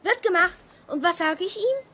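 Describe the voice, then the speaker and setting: A woman playing an eager, cheeky young boy in a children's radio play, excitable and outspoken. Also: extremely high pitch, moderate pace, exaggerated voice.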